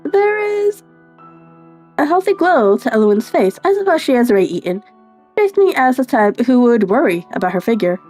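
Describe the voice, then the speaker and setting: A woman's voice: on the high side, sing-song, moderate pace, a little mellow, soft background music. A woman narrating a visual novel playthrough, reading story prose aloud and dropping in casual asides.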